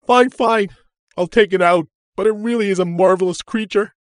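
A man voicing a chubby, cheeky younger brother in an audiobook, talking in a breezy, excitable way. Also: high voice, moderate pace, no room sound, clean studio sound, voice pushed up, lightly acted. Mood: enthusiastic.

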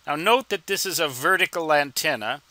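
Older guy in his 60s explaining stuff, slightly high voice, really dry sound, deliberate and emphatic. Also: animated, sharp tone, no room sound. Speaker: instructor and ham radio hobbyist. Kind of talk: informal explanatory tutorial.

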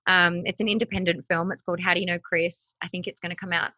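A woman in her thirties, a TV host and actress, chatting as a podcast guest over a video call with a desk mic, bubbly and quick to laugh. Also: fairly low pitch, level tone, bright, forceful tone, no room sound, lo-fi sound. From Australia. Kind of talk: casual podcast interview. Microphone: desk condenser mic, video call.

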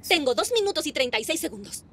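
An actress voicing a breezy, demanding celebrity show host in a cartoon dub. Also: female voice, high voice, big pitch swings, fast talker. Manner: breezy, fussy, self-assured. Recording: cartoon dub with loud music.

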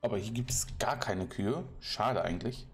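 A man's voice: lowish voice, moderate pace, faint music behind. A German man giving casual, playful commentary while playing a game, chatting to the animals and villagers he meets. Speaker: let's play commentator.

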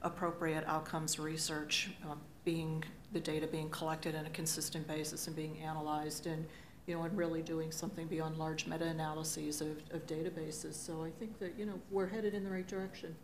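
A woman with a low, level voice speaks into a table microphone on a conference stage. She talks in a measured, thoughtful way, pausing on fillers. A faint room hum sits behind her. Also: level tone, poor audio. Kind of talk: panel discussion.

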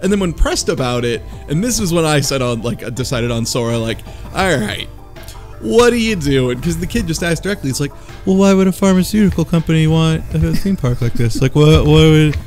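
A man's voice: a little high, fairly quick, soft background music. A man in a casual group chat, recapping a story in a rambling way and acting out the dialogue as he goes. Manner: rambling, animated retelling with acted-out quotes. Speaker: podcast panelist.

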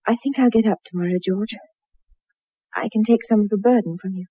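An actress playing a fretful, gentle wife in a 1960s radio drama, earnest and anxious. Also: female voice, mid-range voice, fairly quick, husky, dry recording, natural voice. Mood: weary, concerned. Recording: muffled, lo-fi old radio recording.

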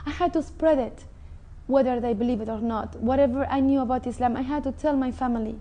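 A woman with a Mexican Spanish accent and a high yet mellow voice, talking earnestly and with feeling. She sounds middle-aged and speaks as a guest on a TV studio set. Her voice comes through close on a clip-on lapel mic. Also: fairly quick, muffled recording. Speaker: interview guest. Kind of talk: personal testimony.

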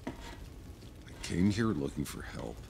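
A hardened, determined soldier in a game cutscene. The voice is deep and rough with fry, taking its time and speaking bluntly. Music plays underneath. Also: male voice, big pitch swings, unhurried, noisy background, exaggerated voice. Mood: pleading. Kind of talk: video game cutscene acting.

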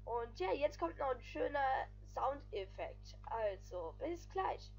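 A woman talks over her gameplay video in an easygoing, rambling way, tying thoughts together with filler phrases. Her voice sits a little high. Soft music plays quietly underneath. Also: very animated, slow pace, husky. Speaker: gaming video creator. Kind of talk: casual voice-over commentary.

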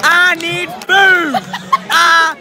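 A teenage boy chatting goofily into a phone at a night football game, shouting as he loses his voice. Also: very high-pitched, flat delivery, very slow. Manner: goofy and shouty. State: losing his voice. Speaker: vlogger's boyfriend. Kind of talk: casual chat. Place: outdoor football stadium at night. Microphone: phone held at arm's length. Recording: loud crowd and noise behind.

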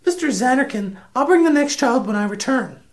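A prim and protective schoolteacher played by a woman in a comic radio drama. The voice is high, with a proper, fussy way of speaking. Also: expressive, a little fast. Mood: indignant.

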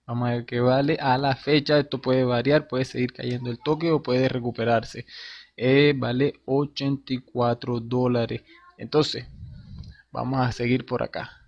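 A man's voice: mid-range voice, flat delivery, a little slow, a little mellow. A man, talking viewers through a game on screen in an informal, slangy way. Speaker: crypto game tutorial host. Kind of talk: screen-recorded tutorial narration. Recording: dull lo-fi voice with faint hiss.

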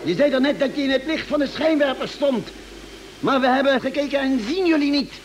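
A man's voice: very high voice, fairly quick. An actor in an old Dutch radio drama playing an earnest, urgent male spaceship doctor, worried and taking charge. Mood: alarmed. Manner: earnest, urgent, hesitating at times. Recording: noisy vintage radio recording.

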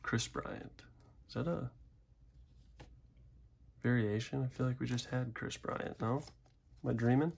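Gravelly-voiced young man chatting casually. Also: mid-range voice, a little slow, pauses often. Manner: chatty, rambling, self-deprecating asides. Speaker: card-opening channel host. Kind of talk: casual pack-opening commentary. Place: indoors at a desk.

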